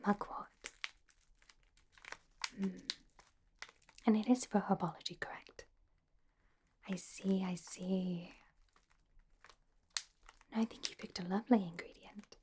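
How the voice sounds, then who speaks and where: A woman playing a studious, caring teenage schoolgirl witch in a whispered, breathy ASMR roleplay, speaking gently and helpfully with small hesitant pauses. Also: medium pitch, fairly even intonation, a little hiss or hum, close to an everyday voice. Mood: patient, helpful.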